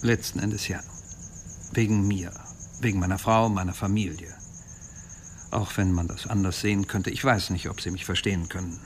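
A man's voice: deep voice, fairly even intonation, moderate pace, slight background noise, close to an everyday voice. A man playing a defensive, uneasy married suspect earnestly explaining himself to police in a radio crime drama.

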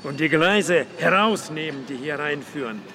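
An actor playing a menacing, controlled gang boss who speaks deliberately and threatens coldly in a German radio crime drama. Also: male voice, a little high, expressive, crowd noise. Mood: coldly threatening.

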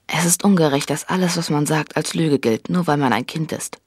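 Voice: mid-range voice, fairly quick, slightly rough, no room sound, natural voice. An actor playing an indignant, streetwise twelve-year-old boy on the run, muttering his thoughts to himself in a radio drama. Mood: bitter. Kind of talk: radio drama monologue, thinking aloud. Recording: studio radio drama with loud music.

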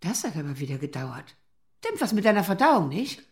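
A woman's voice: on the low side, very animated, a little fast, darkish tone. A woman playing a querulous, nagging old mother in a radio drama, blunt and easily indignant.

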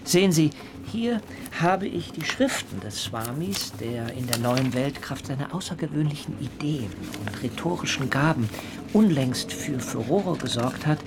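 Fervent, eloquent vegetarian zealot, swooping delivery. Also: male voice, a little high, animated, unhurried, noisy background. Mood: earnest, eager. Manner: eloquent, ornate, rhetorical. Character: earnest, zealous young German vegetarian and coconut prophet. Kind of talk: radio play acting.

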